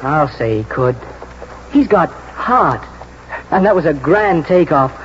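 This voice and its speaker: Keen young English horse lad, high voice, halting colloquial talk, old muffled radio drama with hiss. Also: male voice, animated. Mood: excited, admiring. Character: keen, plain-spoken young English stable lad, encouraging. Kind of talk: 1940s radio drama acting. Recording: muffled, noisy 1949 radio broadcast.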